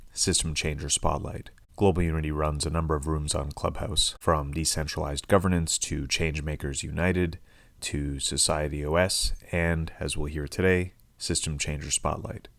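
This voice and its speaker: Very deep-voiced male podcast host. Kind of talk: scripted intro then live chat hosting.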